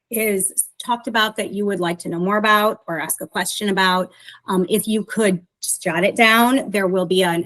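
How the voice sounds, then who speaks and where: A woman hosts a panel discussion over a video call as moderator. She is polite and appreciative toward her guests, with a mid-pitched voice. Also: fairly bright tone, low-quality recording. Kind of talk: moderating an online author panel.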